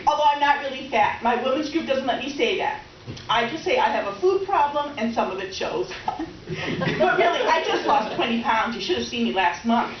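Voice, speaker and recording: A woman's voice: high voice, animated, normal speed. Woman playing effusive middle-aged former sweetheart, stage comedy, self-mocking chatter, roomy sound, distant mic. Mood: gushing, joking. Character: gushing, over-excited middle-aged former high-school sweetheart woman. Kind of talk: readers theater stage comedy. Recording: roomy, distant, dull, faintly noisy stage recording.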